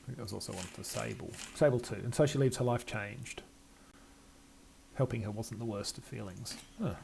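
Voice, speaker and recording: An older man giving let's-play commentary, reading game text aloud and thinking aloud in a halting way. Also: fairly low pitch, creaky, darkish tone, a little hiss or hum, poor audio. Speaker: gamer playing and narrating a game.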